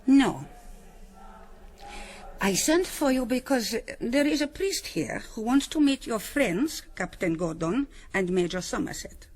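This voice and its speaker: An actress playing a stern, pious Reverend Mother of a convent in a radio drama, admonishing with deliberate authority. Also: fairly high pitch, expressive, a little mellow. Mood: stern, instructing.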